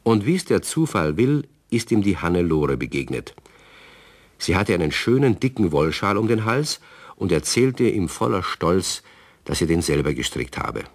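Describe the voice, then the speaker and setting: Deep older male radio play narrator. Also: normal speed. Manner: calm storytelling.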